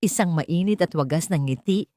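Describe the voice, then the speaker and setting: A woman's voice: on the low side, normal speed, dark tone, no echo. A woman narrating a scripted dramatic story as a storyteller. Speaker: narrator of Tagalog drama stories.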